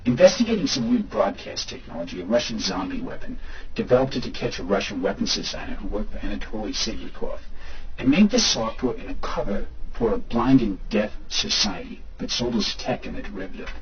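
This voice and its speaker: An actor playing an excitable, hungover man showing off an app in an amateur audio drama. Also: lowish voice, a little fast. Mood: explaining. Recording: muffled recording with faint background noise.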